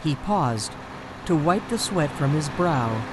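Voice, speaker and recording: A woman playing an ominous mystery theatre storyteller, narrating a scripted horror radio play in a measured way. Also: sits low, lively intonation, background noise.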